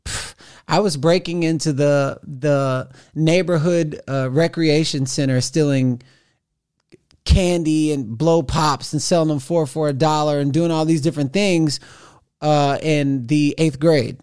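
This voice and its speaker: Man in his forties, podcast guest, lively storytelling, somewhat high voice, restarts often. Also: measured pace, clear, resonant tone. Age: 40s. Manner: animated storytelling with frequent restarts. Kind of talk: conversational interview.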